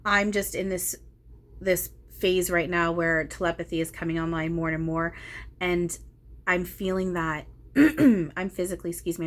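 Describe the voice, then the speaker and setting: Thirties woman channeler rambling softly, lots of ums, slightly low voice. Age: 30s. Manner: soft, meandering, many ums and restarts. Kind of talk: casual spiritual monologue.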